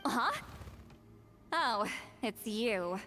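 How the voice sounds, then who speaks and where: A prickly, aloof shaman woman in a video game. She sounds curt and dismissive in a fairly high voice, with clear music playing behind her. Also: animated, drawn-out words. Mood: irritated. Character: aloof, blunt, centuries-old shaman woman who looks young.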